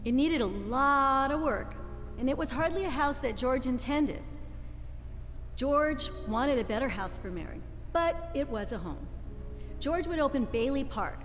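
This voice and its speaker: A woman acting a kindly storyteller in a 1940s-style radio play, explaining the tale clearly to a listener. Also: high voice, animated, a little slow. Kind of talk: scripted radio play narration. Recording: reverberant hall, music underneath.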